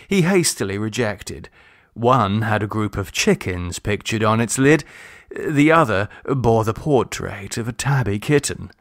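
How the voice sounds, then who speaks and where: A man reading a literary short story aloud in a measured, expressive storytelling manner. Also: lowish voice, no room sound, clean studio sound. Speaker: audiobook reader. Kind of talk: scripted literary story narration.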